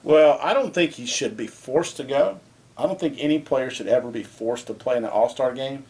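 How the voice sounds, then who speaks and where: A man's voice: mid-range voice, normal speed, darkish tone. A man co-hosting a casual sports talk show, speaking plainly and getting worked up over his opinions. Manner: plainspoken, gets fired up with strong opinions.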